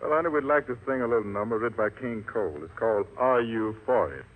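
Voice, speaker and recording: A man's voice: medium pitch, quick pace. An American man, a singer guesting on a 1940s radio show, giving introductions and dedications on a muffled old broadcast recording. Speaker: singing group member, guest on radio show.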